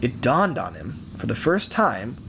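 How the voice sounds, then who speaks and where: A man narrating a scripted story in a theatrical, measured way, with deliberate pauses. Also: mid-range voice, huge pitch swings, gravelly, background noise.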